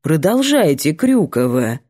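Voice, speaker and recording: A woman's voice: deep voice, big pitch swings, unhurried, mellow, slightly rough, full character voice. A woman voicing a stern, theatrical male economics professor with a pompous, didactic manner in an audiobook. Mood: pompous, probing.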